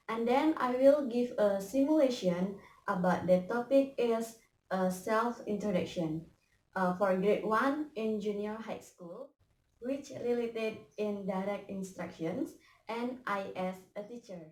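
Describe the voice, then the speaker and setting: A young woman teaching a simulated English lesson, explaining slowly and carefully with hesitant pauses. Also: on the high side, darkish tone. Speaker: student teacher. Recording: echoey room.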